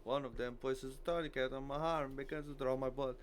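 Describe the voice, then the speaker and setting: A man's voice: mid-range voice, steady tone, brisk. A man who natively speaks Portuguese, reading a visual novel aloud as a game streamer, with faint noise behind him.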